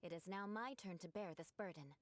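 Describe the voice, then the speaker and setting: A woman's voice: lowish voice, a little fast, close to an everyday voice. A woman voicing a proud young noblewoman knight in an anime video game, speaking formally and with composed elegance. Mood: solemn, resigned. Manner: formal, poised, haughty.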